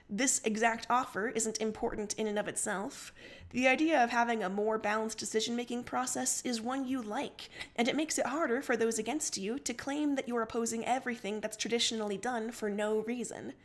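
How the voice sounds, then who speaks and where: A woman narrating second-person fiction expressively as a livestream story reader. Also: on the high side.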